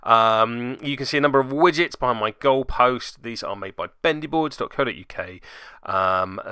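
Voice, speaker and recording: A man's voice: mid-range voice, sharp tone, clear, resonant tone, no room sound. A man giving casual play-by-play commentary on a tabletop game, with jokey, dry asides. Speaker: host and player narrating a tabletop game report.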